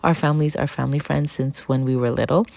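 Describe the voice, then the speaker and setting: A woman raised in Canada narrating her family vlog in a chatty, upbeat voice-over with soft laughs. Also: rumbling low, steady tone, fast, creaky, dry recording. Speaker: vlogger.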